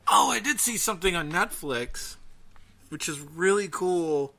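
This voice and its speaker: A man co-hosting a casual podcast, talking in a chatty, halting way. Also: sits high, lively intonation, gravelly, sharp tone. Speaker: podcast co-host.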